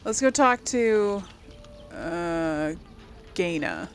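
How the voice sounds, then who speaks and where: A woman's voice: on the low side, big pitch swings, very slow. A woman hosting a casual game role-play, musing aloud and playfully voicing her own dialogue choices. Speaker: gaming video host. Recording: faint background noise.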